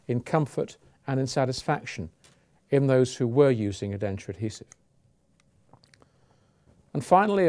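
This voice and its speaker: A man giving a measured webinar presentation of research findings, with small restarts. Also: mid-range voice, dark tone. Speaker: dental professor presenting.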